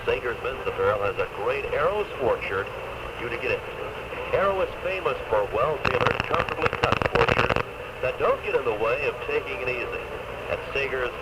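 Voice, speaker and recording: A man's voice: mid-range voice, lively intonation, fairly quick. A man reading a scripted radio advertisement, captured on a 1970s AM radio recording. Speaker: radio commercial announcer. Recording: distant, very noisy 1970s AM radio taping.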